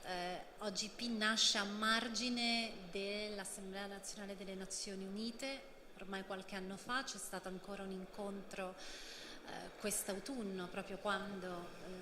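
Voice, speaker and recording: A woman minister chairing a session and introducing the next speaker, halting with frequent "eh" fillers. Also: mid-range voice, bright, forceful tone. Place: conference hall. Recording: roomy hall with reverb and faint noise.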